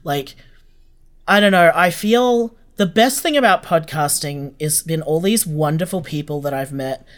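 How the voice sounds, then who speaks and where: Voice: medium pitch, a little slow. A podcast producer talking casually and effusively as an interview guest. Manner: effusive, gushing.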